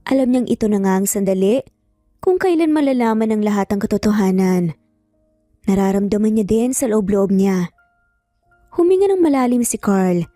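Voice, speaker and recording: A woman's voice: mid-range voice, expressive, moderate pace, pauses often, warm tone, no room sound, studio quality, soft background music. A woman with a Filipino accent narrating a scripted dramatic story.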